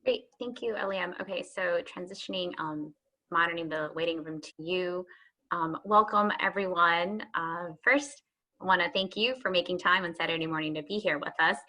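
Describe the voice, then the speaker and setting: A woman hosting a panel on a video call, her voice low yet bright and forceful. She speaks upbeat and fills her sentences with ums and you-knows. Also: moderate pace. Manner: many fillers, upbeat. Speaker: host. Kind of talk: moderating a panel discussion.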